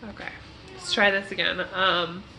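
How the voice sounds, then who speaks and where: A woman's voice: lowish voice, flat delivery, moderate pace, vocal fry, heavy background noise. A woman in her thirties with a stuffed-up nose, rambling casually as she films a home tour at arm's length, with frequent ums. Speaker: vlogger. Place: family home, kitchen and kids' bedroom, indoors. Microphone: handheld camera at arm's length.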